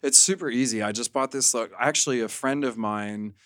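A man's voice: mid-range voice, brisk, fairly bright tone. A man chatting casually as a podcast guest, telling stories in an easygoing way and acting out the lines he quotes. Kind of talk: casual chat, storytelling.

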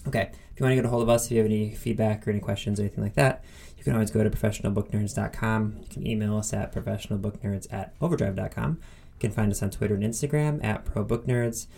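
A man's voice: fairly low pitch, steady tone, fast, gravelly, dark tone. A man speaking casually and enthusiastically as a podcast host. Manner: enthusiastic, informal. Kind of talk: casual podcast intro and conversational interview.

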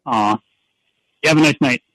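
A man's voice: moderate pace, fairly bright tone, no echo, poor audio. A spirited man in his twenties from Canada, chatting casually while calling in by phone. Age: 20s. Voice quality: deep voice, feisty and spirited. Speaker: caller. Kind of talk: casual call-in chat. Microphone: phone held at an angle.

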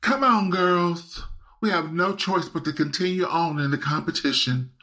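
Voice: medium pitch, moderate pace, bright, forceful tone, close to an everyday voice. An actor playing a sassy, confrontational drag queen contestant in a campy comedic radio play. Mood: rallying.

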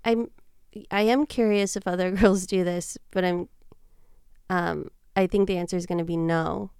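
A woman's voice: on the low side, fairly even intonation, moderate pace, vocal fry, a little mellow, smooth, no echo, clean studio sound. A woman in her thirties, a podcast guest telling dating stories in casual chat, laughing often. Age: 30s. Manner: giggly, storytelling.

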